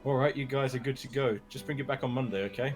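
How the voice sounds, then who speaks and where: A man's voice: mid-range voice, fast talker. A man streaming a visual novel, reading character dialogue casually with laid-back joking asides. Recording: clear game music behind.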